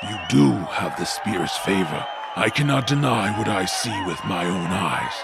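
A man's voice: sits low, lively intonation, moderate pace, vocal fry. An actor playing a proud, solemn male orc warrior in an audio drama, speaking formally and declaiming in awe. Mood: awed reverence. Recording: loud music and crowd behind.

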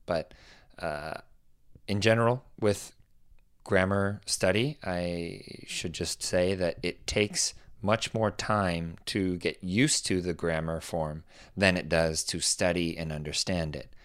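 Deep-voiced male co-host, calm and measured, dry studio sound. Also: smooth, dry recording. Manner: measured, slow when explaining, easygoing. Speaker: podcast co-host and teacher. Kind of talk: casual podcast chat.

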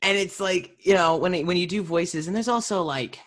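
A woman's voice: fairly low pitch, normal speed. A woman interviewer and fellow actor chatting casually. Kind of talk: casual chat.

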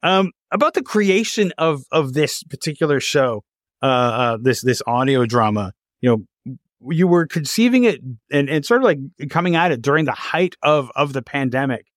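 A man hosting a casual interview, talking in an animated, rambling way and often stammering or repeating words. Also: a little high, normal speed, no room sound, studio quality. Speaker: interviewer, podcast host.

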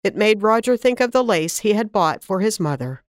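A woman reading a classic children's story aloud in an expressive, warm storytelling manner on a close studio mic. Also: mid-range voice, moderate pace, dry recording.

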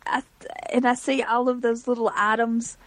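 A woman's voice: a little high, level tone, normal speed. Drawling Southern woman, wry co-host. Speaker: podcast co-host. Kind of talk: casual chat.

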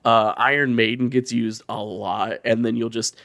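A co-host explaining game mechanics in casual, sweary, animated chat with lots of slang. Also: mid-range voice, moderate pace, gravelly.

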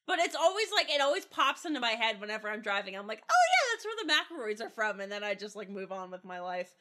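Woman, Pittsburgh accent, bright tone, casual self-deprecating podcast chatter. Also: fairly high pitch, sing-song, quick pace. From US Northeast-Midwest border. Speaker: podcast cast member. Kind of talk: casual chat. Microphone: home podcast mic.

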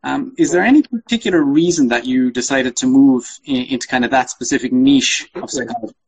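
A man's voice: mid-range voice, brisk, husky, poor audio. A man hosting a podcast, asking his guest questions in a casual, polite, conversational way. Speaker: podcast host, interviewer.

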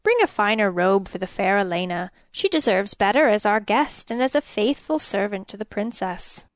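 Woman putting on a croaky, sly old king. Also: medium pitch, lively intonation, normal speed, no echo, natural voice. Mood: imperious. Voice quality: croaky, scratchy.

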